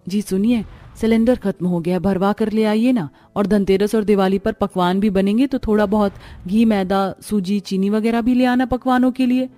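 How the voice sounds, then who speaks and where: A woman voicing a poor, gentle, long-suffering mother in a cartoon family drama, earnest and soft-hearted. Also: mid-range voice, steady tone, normal speed, hardly any pauses, lightly acted. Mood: worried, soothing. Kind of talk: Hindi animated family melodrama.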